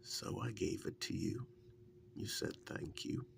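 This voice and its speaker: A man playing a wry, affectionate partner reminiscing in a soft-spoken, intimate ASMR role-play, halting and musing, breathy and often slipping into a whisper. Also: a little hiss or hum, close to an everyday voice. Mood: fond, wistful.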